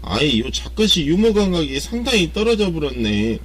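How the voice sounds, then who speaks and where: A man's voice: a little high, very animated, normal speed. A man with a thick Jeolla dialect, chatting and teasing an old friend in a comic phone sketch.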